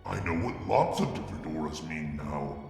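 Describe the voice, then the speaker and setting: A man reading a fan story aloud as a first-person narrator, in a measured, dramatic way. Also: very deep voice, big pitch swings, creaky, darkish tone. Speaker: fanfiction reader. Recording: echoey room with long reverb and faint music.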